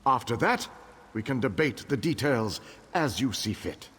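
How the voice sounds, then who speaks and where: An actor playing a noble, solemn king who rallies his allies in measured, formal speech in a fantasy game. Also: mid-range voice, lively intonation, a bit bright, a little hiss or hum. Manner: stately, measured, formal oratory. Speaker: war leader king. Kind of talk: dramatic fantasy game dialogue. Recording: long hall reverb.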